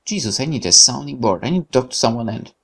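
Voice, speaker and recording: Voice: mid-range voice, expressive, fast. A game streamer reading visual novel narration aloud expressively, occasionally stumbling over words. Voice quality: creaky.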